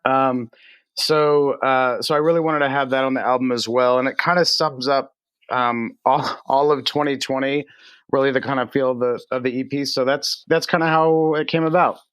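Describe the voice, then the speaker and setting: A man from Texas, a musician guest, giving casual interview answers in halting, hesitant phrases. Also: medium pitch, fairly even intonation, a bit bright, clear, resonant tone, no echo. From Texas, United States. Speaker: singer-songwriter guest.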